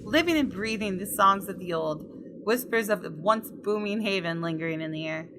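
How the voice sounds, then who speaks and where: A woman's voice: mid-range voice, lively intonation, normal speed, noisy background. A woman gamer reading a visual novel's story aloud expressively, with casual commentary of her own. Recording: faint game music behind. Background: soft game music.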